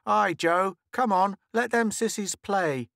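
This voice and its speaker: A man's voice: high voice, slow pace, gravelly, a bit bright, no echo, clean studio sound, pitched above the performer's own voice, full character voice. A man voicing a rude, mocking twelve-year-old boy in a storybook reading.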